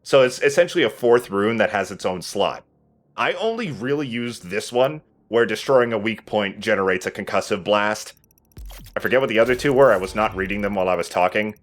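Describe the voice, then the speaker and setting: A man's voice: lowish voice, expressive, a little fast. A man giving casual commentary as he plays a video game and hosts for friends. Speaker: let's play host and player.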